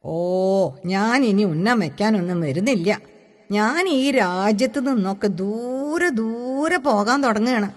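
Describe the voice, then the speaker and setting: A woman's voice: medium pitch, slow pace. Woman reading as a boastful village boy, reverberant. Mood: dreamy, defiant. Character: boastful, teasing village boy dreaming of far travel. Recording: long hall-like reverb.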